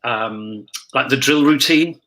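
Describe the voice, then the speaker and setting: A man with a bright, forward voice and a middling pitch chats casually as a guest. He tells anecdotes like a stage performer, acting out the quoted lines as he goes. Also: slow, low-quality recording. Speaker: pantomime dame performer, interview guest.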